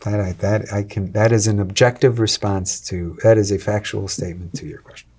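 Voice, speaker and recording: A man, a restaurant owner talking as an interview guest, energetic and salesy with many fillers and restarts. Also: on the low side, fairly quick, slightly rough. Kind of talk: casual interview talk.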